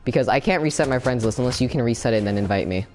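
A young man chatting casually while he streams a fighting game, excitable, with sudden yelps and laughs. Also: medium pitch, rapid-fire, faint music behind. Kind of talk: casual gameplay commentary. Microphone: no camera, desk mic.

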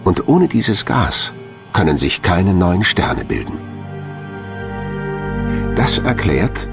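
Guy doing documentary voiceover, low voice w lots of melody, music under it. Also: very animated, takes it slowly, gravelly. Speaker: documentary narrator. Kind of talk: scripted documentary narration.

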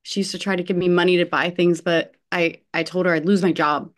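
A woman reading an earnest young female nursing home worker in an online play reading, halting and hesitant, over a video call. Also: fairly low pitch, fairly even intonation, natural voice. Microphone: video call computer mic.

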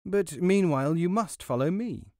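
A man's voice: high-pitched, animated, moderate pace, darkish tone, dry recording, studio quality, voice pushed up. A man voicing a pompous, self-important little mouse in a storybook, with a grand, declamatory manner. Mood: bossy. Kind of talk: storybook character voice.

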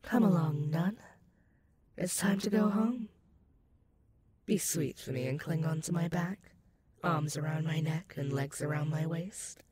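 A woman's voice: low-pitched, a crowd in the background. A woman playing an ancient, sadistic and possessive female werewolf demon in a roleplay audio, purring menace and mocking pet names at the listener. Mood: possessive, teasing. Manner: slow, teasing, purring menace with mocking endearments.

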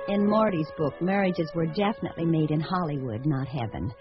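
A woman's voice: low voice, fairly quick, close to an everyday voice. A woman acting in a radio drama as a wry, fluttery entertainer who muses aloud about her failed proposals. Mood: wry musing. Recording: dull lo-fi radio sound, faint music.

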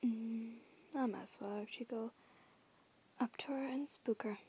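A woman's voice: fairly high pitch, fairly even intonation, moderate pace, a little mellow. A woman voicing a teasing young female werewolf in an audio roleplay, whispering huskily and swearing casually. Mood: suspicious. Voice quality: whispery, husky.

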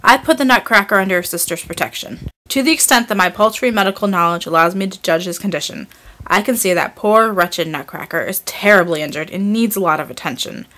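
Woman doing a calm authoritative father. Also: medium pitch, brisk, natural voice. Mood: stern.

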